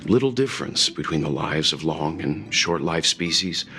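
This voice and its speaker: A man voicing a calm, fatherly, philosophical traveler in a video game, measured and patient. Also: extremely low pitch, exaggerated voice. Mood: reflective. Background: music.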